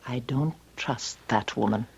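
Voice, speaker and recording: A woman's voice: very deep voice, measured pace, vocal fry, a little mellow, breathy edge, highs cut off, exaggerated voice. A woman acting in an old radio drama as a frightened, theatrical young ballerina, pleading. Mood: anxious, distrustful. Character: frightened, melodramatic young Russian ballerina who defected.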